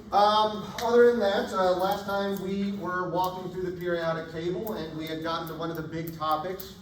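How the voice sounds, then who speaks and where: A man teaching a college chemistry class, casual and chatty, often checking in with "right?", his voice going hoarse. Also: a little high. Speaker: chemistry instructor. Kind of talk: classroom lecture. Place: lecture hall. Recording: echoey lecture hall, distant mic, faint room noise.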